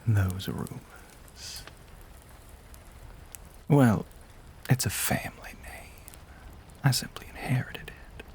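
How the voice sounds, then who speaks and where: Slow, whispering Southern vampire played by a man. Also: fairly low pitch, darkish tone. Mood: teasing, genteel. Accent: American South. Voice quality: breathy, mostly whispered. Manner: slow, theatrical, genteel phrasing with long pauses. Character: courtly, menacing, ageless Southern male vampire. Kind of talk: intimate whispered audio roleplay.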